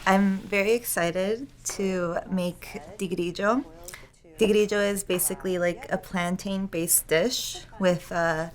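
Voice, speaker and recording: A woman's voice: mid-range voice, fairly even intonation, slow. A young woman raised in Ecuador and Canada, talking casually in an interview with lots of "like" and "uh". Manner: casual, full of "like" and "uh". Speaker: documentary interviewee. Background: guitar music.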